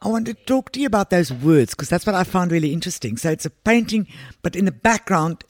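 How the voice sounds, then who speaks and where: A woman's voice: lowish voice, lively intonation, fast, no echo, studio quality. A woman hosting a podcast, interviewing guests in a conversational style. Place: gallery space.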